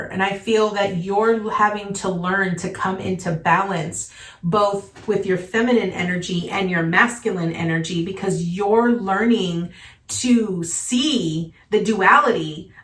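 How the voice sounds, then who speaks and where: A woman's voice: mid-range voice, a bit bright, distant mic. A woman giving a casual tarot reading, earnest and reassuring, often checking in with "okay?", her voice a little worn from congestion. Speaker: tarot reader. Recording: echoey room, voice a little off-mic.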